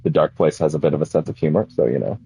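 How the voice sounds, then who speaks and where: A man's voice: very deep voice, racing, vocal fry, warm tone, soft background music. A man streaming a game, chatting casually in a rambling way with lots of fillers, a little sniffly from a cold. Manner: rambling, filler-heavy, self-correcting. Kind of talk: casual livestream chat while gaming.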